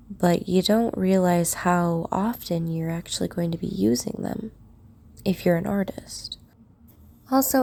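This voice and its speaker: A woman with a croaky voice from a cold, narrating a drawing tutorial in an unhurried way while she shades. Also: medium pitch, dark tone. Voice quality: gravelly. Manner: unhurried, talks while shading. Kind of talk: relaxed drawing tutorial voice-over.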